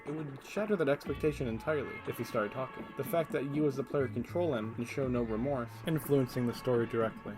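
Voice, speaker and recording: A man's voice: mid-range voice, quick pace, few pauses, low-quality recording. A man giving casual, opinionated scripted commentary as a video essayist, with a sarcastic streak. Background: background music.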